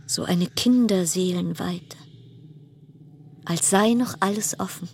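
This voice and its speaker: An actress playing a haunted woman ship passenger in a literary radio drama monologue, lyrical and measured, repeating her phrases. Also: lowish voice, darkish tone, a little hiss or hum. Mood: hushed, wistful. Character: haunted woman passenger recalling a deadly cruise ship voyage.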